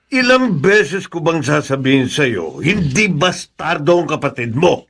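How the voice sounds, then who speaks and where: Middle-aged Filipino father, animated and high-voiced. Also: a little slow, slightly rough, heavily acted. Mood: exasperated, stern. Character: weary middle-aged father, protective and firm. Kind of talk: Filipino radio drama acting.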